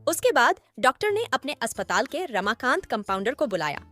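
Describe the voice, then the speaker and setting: A woman's voice: a little high, sing-song, a little fast, a bit bright, soft background music. A woman reading a scripted horror story as its narrator.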